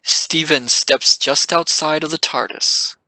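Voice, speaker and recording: A man's voice: on the high side, steady tone, takes it slowly, pushed and bright, dry recording, rough recording. A man reading scripted stage narration for an audio drama.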